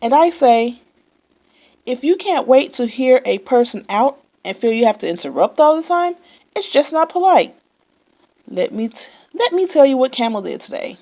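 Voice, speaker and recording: A woman's voice: sits high, big pitch swings, moderate pace, pitched above the performer's own voice, exaggerated voice. A woman doing a nonstop-talking yak taxi driver. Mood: chattering. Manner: loud, rambling, indignant chatter.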